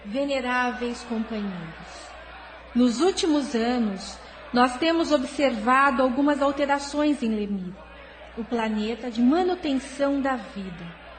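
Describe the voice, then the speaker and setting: Woman playing a solemn, highish-voiced noble councillor. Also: slight background noise, lightly acted, rough recording. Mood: concerned, solemn. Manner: formal, solemn, measured. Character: noble, concerned female councillor of an alien world. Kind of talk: spiritist audio drama acting.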